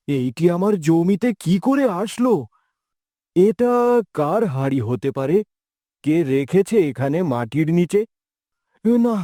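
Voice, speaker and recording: A man's voice: high-pitched, mellow, no room sound, studio quality, exaggerated voice. A man playing an honest, humble village farmer in a cartoon, earnest and exclamatory. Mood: amazed, musing. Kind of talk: cartoon dialogue.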